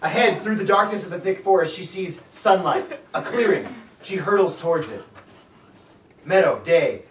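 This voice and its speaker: A woman's voice: on the low side, expressive, normal speed, a little hiss or hum. A woman theatrically narrating stage directions in a comic script reading, breaking off into playful asides. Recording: echoey room, far-off camera mic, crowd.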